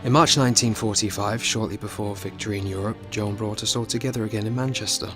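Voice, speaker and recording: An actor playing an earnest seventeen-year-old theatre newcomer in a radio drama. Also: male voice, fairly low pitch, fairly even intonation, fast talker, over music, lightly acted. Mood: measured narrating. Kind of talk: radio drama acting and narration.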